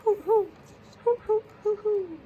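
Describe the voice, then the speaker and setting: A woman voicing a nosy, concerned male owl with loud hooting calls in a children's storybook. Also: very high-pitched, takes it slowly, a little hiss or hum, voice pushed up. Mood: hooting, questioning.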